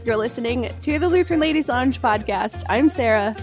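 A woman's voice: fairly high pitch, lively intonation, brisk, soft background music. A woman hosting a podcast, chatting casually and wrapping up the show in an upbeat, giggly way. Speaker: podcast host. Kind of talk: casual chat and show outro.

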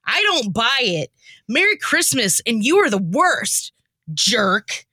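Woman acting out an exasperated lady in a comic radio play, bright forceful voice, swings up and down a lot. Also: a little high, big pitch swings, takes it slowly, slightly rough, no echo, full character voice. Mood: indignant, exasperated. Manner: exclamatory, slangy, theatrical. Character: woman playing her exasperated, easily riled comic self. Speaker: podcast host and scriptwriter.

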